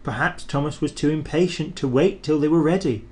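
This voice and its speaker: A man reading a children's storybook aloud, expressive and measured. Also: fairly high pitch.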